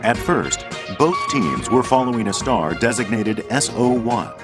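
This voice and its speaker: A man delivering scripted documentary narration in a measured, deliberate manner. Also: mid-range voice, animated. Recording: music under the voice. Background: background music.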